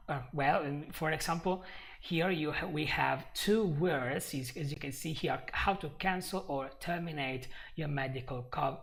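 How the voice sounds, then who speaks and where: Man, Italian accent, English teacher, slow delivery, gravelly voice, patient and repetitive. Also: fairly high pitch, a bit bright. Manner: patient, repeats and restarts while explaining. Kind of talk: live-stream English lesson.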